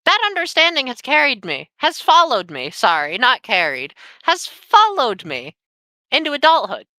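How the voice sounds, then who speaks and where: A nineteen-year-old woman telling a painful personal story on a podcast, candid and sweary with heavy pauses. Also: sits high, wildly swooping pitch, pushed and bright, no echo. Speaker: podcast guest.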